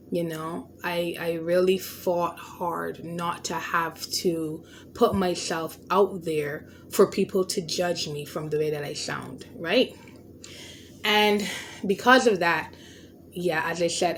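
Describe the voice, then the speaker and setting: A woman's voice: on the low side, steady tone, takes it slowly, slight background noise. A woman with a stutter and a lisp, hosting a podcast and talking through a personal story in an earnest, reflective way, often restarting words. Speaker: podcast host. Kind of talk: unscripted personal monologue.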